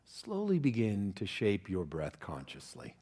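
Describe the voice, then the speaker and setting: A man guiding a relaxation meditation as a yoga nidra teacher, speaking in a calm, measured, soothing way with pauses. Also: fairly low pitch, breathy edge.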